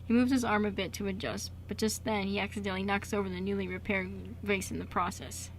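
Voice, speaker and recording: Creaky-voiced woman narrating a radio play. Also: mid-range voice, little pitch movement, fast, background noise.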